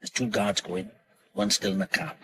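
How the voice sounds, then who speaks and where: An actor as a low-voiced, bossy British gang leader. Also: male voice, moderate pace, close to an everyday voice. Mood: firm, irritated. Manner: commanding, clipped, instructing. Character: bossy, edgy male leader of a robbery crew. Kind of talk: radio crime drama acting.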